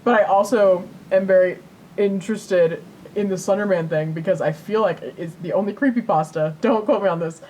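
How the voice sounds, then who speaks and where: A woman's voice: mid-range voice, normal speed, darkish tone, a little hiss or hum, rough recording. A woman co-hosting a podcast in casual chat, rambling and repeating herself as she tells things. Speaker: podcast co-host.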